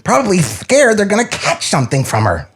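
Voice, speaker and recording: A man playing a gruff, sarcastic, exasperated studio boss in a comedy table read, blunt and scolding. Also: high-pitched, wildly swooping pitch, fairly quick, fairly bright tone, soft background music, heavily acted. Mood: scornful.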